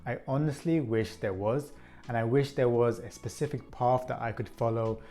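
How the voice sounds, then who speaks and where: A man in his thirties hosting his own video channel, talking to camera in an earnest, measured way, with an occasional stutter slowing his words. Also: medium pitch. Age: 30s. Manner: earnest, even and measured, now and then slowed by a stutter. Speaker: channel host. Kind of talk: scripted vlog talk to camera.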